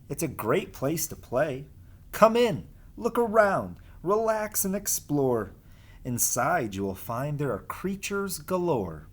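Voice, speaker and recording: A man's voice: medium pitch, husky. A man speaking as a teacher in a casual read-aloud lesson for children, informal and explanatory. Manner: informal, explains and asks listeners questions. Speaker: teacher reading aloud to pupils.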